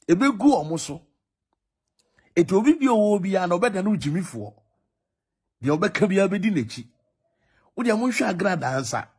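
Ghanaian guy pointing stuff out in a clip, highish husky voice, insistent, keeps repeating himself. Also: darkish tone. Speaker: commentator in a social media clip. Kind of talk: informal accusatory video commentary in Twi.

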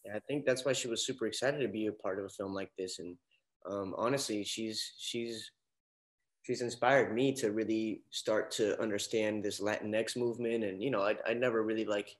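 Young actor guest, sincere, stumbles and repeats, on a video call. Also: male voice, on the low side, fairly even intonation. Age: young adult. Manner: halting, repeats words, earnest. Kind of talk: casual interview chat. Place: indoor room, video call.

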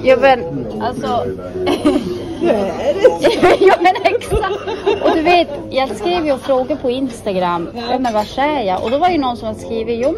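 A woman in her thirties vlogging on a phone at arm's length, chatting casually with friends, laughing often and swearing as she tells stories. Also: high voice. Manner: laughing, swearing, lively storytelling. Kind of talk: casual vlog chat. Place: breakfast room, shopping mall and parked car. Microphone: phone held at arm's length. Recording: noisy, with crowd chatter behind.

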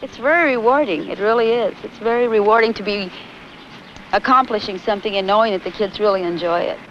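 A woman's voice: mid-range voice, fast, slight background noise. Woman interviewed, dull old film sound. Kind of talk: interview remarks.

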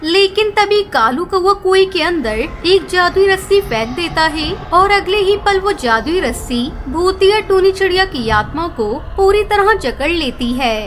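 A woman's voice: extremely high pitch, animated, a little slow, few pauses. A woman narrating a scripted children's story as the storyteller. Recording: clear background noise and ambience.

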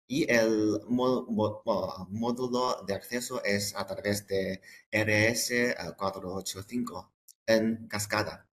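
Man, product webinar presenter, lowish pitch, slow, hesitant, repeats words, noisy recording. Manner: halting, hesitant delivery with repeated words and broken phrases.

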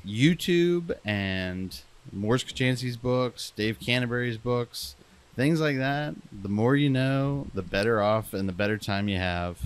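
A man's voice: medium pitch, expressive, a little slow, clean tone. A young man chatting casually as a podcast co-host, rambling and self-deprecating with frequent restarts.

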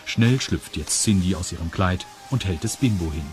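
A man's voice: low voice, lively intonation, normal speed, music in the background. A man with a standard German accent narrating a scripted children's audio story.

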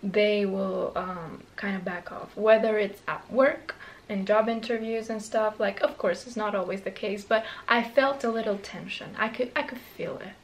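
A woman's voice: mid-range voice, steady tone, moderate pace, creaky, rough recording. A woman in her twenties with a Romanian accent, talking candidly to camera in a small bedroom, rambling with many fillers and restarts. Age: 20s. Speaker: vlogger. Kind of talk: casual talk to camera.

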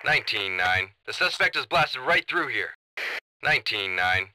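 A man playing an excitable pursuit officer in a video game, reporting in clipped, tense phrases over police radio. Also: fairly low pitch, moderate pace, exaggerated voice. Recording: noisy police radio, clicks.

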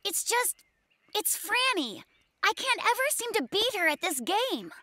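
A woman voicing an earnest, gentle young polar bear boy in a children's cartoon, a little hesitant. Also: very high-pitched, very animated, unhurried, no echo, heavily acted. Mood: puzzled, dejected. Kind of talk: animated children's cartoon acting.

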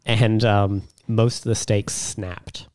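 A man hoarse and raspy with a cold, chatting casually as a podcast co-host in a sweary, self-deprecating way. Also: lowish voice, little pitch movement, takes it slowly, a little mellow, no room sound, clean studio sound. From a subtropical island. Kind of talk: casual chat.

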